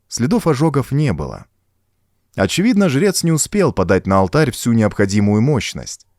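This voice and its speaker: A man's voice: fairly low pitch, a little mellow, no room sound, studio quality. A man reading a pulp horror story as an audiobook, in a dramatic, scripted storytelling manner. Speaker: audiobook narrator.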